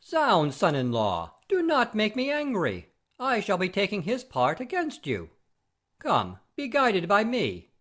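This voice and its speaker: Man as bossy noble father-in-law, high voice, swooping delivery, pompous and formal, audio play comedy. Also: wildly swooping pitch, measured pace, fairly bright tone, heavily acted. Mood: bossy, insistent. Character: imperious elderly gentleman father-in-law, pompous about honour and rank.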